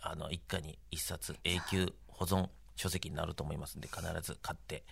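A man giving casual interview answers as an anime producer, pausing often mid-sentence. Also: extremely low pitch, level tone, vocal fry, fairly bright tone, slightly rough.

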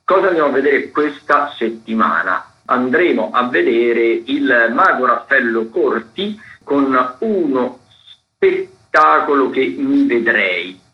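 Chatty man, mid pitch, dull radio sound. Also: animated, takes it slowly. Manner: improvised, chatty, self-correcting. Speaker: theatre critic presenting events listings. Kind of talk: casual radio events roundup.

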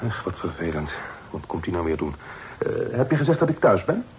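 Courteous, animated young gentleman, lowish voice. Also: brisk. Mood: irritated. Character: courteous, principled, somewhat impatient young gentleman. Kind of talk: radio play acting. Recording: old radio play, faint hiss and rumble.

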